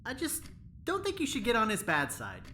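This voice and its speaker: A woman's voice: a little high, wildly swooping pitch, a little fast, pushed and bright, a little hiss or hum, exaggerated voice. A woman playing an anxious, eager-to-please prison assistant in an audio drama. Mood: nervous.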